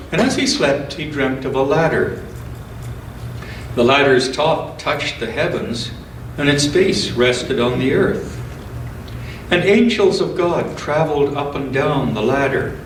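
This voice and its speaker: A man with a medium-pitched voice reads to a church congregation. He takes his time, speaking slowly and deliberately. The sound is roomy and slightly distant. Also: a little hiss or hum. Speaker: scripture reader at a church service. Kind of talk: church scripture reading with informal introduction. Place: indoors in a church.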